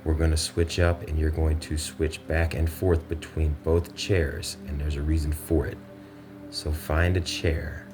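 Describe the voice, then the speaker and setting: Very deep-voiced man guiding meditation. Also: little pitch movement, a little slow, music in the background. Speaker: meditation guide. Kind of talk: guided meditation.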